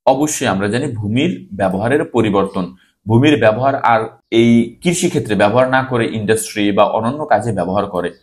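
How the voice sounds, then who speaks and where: A man's voice: lowish voice, fairly quick. A man with a Bangladeshi accent giving an exam-prep lesson, reading out questions and answers in an instructive way and repeating key points. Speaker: teacher presenting exam questions.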